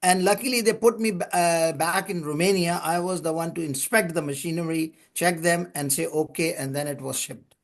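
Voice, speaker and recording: An older man from Pakistan, an English speaker, telling long stories of his career in a relaxed way with small chuckles and restarted words. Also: on the high side, moderate pace. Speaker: guest, lubrication consultant. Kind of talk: podcast interview storytelling.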